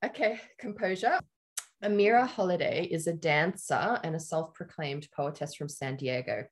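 Deep-voiced chatty woman co-host. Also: normal speed. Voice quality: deep, low voice. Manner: giggly, chatty, laughs often. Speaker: podcast co-host. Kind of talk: casual chat. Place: Australia.

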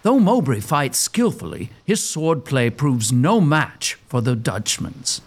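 A man's voice: mid-range voice, very animated, slow pace. A man narrating a gothic audio drama as a scripted story, in a theatrical, suspenseful manner.

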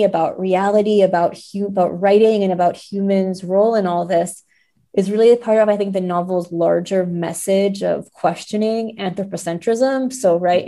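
Female guest, medium pitch, very mellow tone, thoughtful analytical book talk built in careful steps. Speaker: podcast guest.

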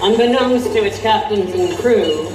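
A woman's voice: medium pitch, background noise, natural voice. Woman playing a wry Victorian reporter, roomy sound. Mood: grave narration. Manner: measured, formal storytelling. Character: intrepid, wry Victorian woman newspaper reporter recounting a mystery. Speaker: narrator. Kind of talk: scripted audio drama narration. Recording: roomy, distant voice over music.

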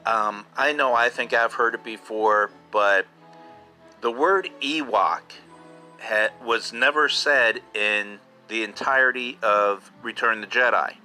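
A man's voice: medium pitch, measured pace, sharp tone, faint music behind. A man in his fifties hosting a casual solo podcast, chatting like an enthusiastic fan and repeating himself for emphasis. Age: 50s. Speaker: podcast host.

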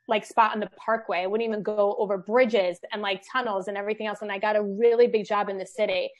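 A woman talking casually on a podcast, rambling through her story with frequent restarts. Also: mid-range voice. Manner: rambling, restarts often, self-deprecating. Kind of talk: casual chat.

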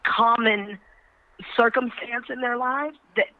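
A woman's voice: a little high, steady tone, slow, no room sound. A woman speaking earnestly and emphatically as an actress guest in a conversational interview. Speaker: stage actress, panel guest. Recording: muffled phone-like radio line.

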